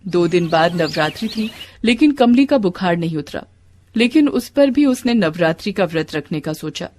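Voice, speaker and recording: A woman's voice: on the low side, lively intonation, moderate pace, a little mellow, slight background noise. A woman narrating a scripted devotional story as a storyteller.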